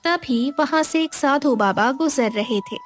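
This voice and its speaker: A woman's voice: high voice, unhurried. A woman narrating a scripted moral tale as a storyteller.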